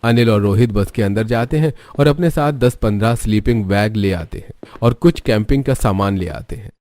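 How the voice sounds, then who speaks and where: A man's voice: fairly low pitch, normal speed, a little mellow. A man reading scripted story narration for a cartoon tale.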